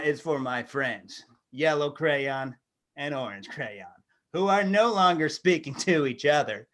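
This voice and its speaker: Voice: mid-range voice, a bit bright. A performer voicing a laid-back, contented green crayon in a comic storybook reading, with a drawling, easygoing manner. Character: mellow, contented green crayon, slow peacemaker.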